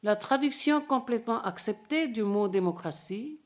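A woman's voice: a little high, animated, normal speed, a little mellow. Older woman lecturing formally. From India. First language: Bengali. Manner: dense formal reading broken by hesitant asides in English. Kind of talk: academic lecture read from a prepared text.

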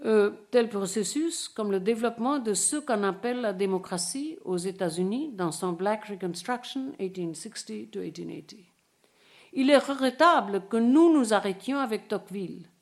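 A woman's voice: medium pitch, creaky. Older woman lecturing formally. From India. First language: Bengali. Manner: dense formal reading broken by hesitant asides in English. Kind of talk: academic lecture read from a prepared text.

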